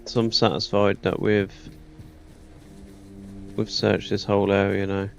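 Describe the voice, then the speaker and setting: A man's voice: fairly low pitch, flat delivery, measured pace, vocal fry, muffled recording, soft background music. A man giving casual let's play commentary, muttering to himself as he goes through a game. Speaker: gamer commentating on a playthrough.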